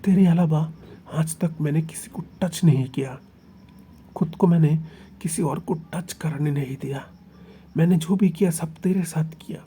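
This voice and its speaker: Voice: medium pitch, unhurried, dark tone, slight background noise, lightly acted. A storyteller voicing a young man who pleads with and then confronts his partner. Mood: hurt intense. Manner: coaxing, then hurt and intense. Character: young man, doting then possessive lover.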